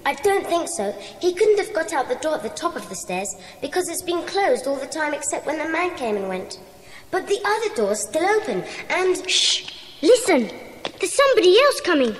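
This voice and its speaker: An eager English schoolboy sleuth in an audio drama. The voice is high and very lively, excitable and anxious, ringing with reverb. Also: female voice, normal speed. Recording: echoey, reverberant, noisy recording.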